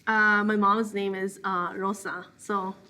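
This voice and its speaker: A woman's voice: mid-range voice, slow pace, a crowd in the background. A woman in her twenties from El Salvador, a podcast guest talking casually and plainly, with many fillers. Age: 20s. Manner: relaxed and plainspoken, with frequent fillers. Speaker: interview guest, ultramarathoner. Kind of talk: casual conversation.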